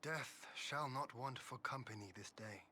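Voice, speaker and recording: An actor playing a proud, solemn young lord in a video game, speaking in formal, archaic phrases. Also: male voice, mid-range voice, a little slow, pushed and bright, slight background noise. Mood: sorrowful, grim. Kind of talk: video game cutscene acting.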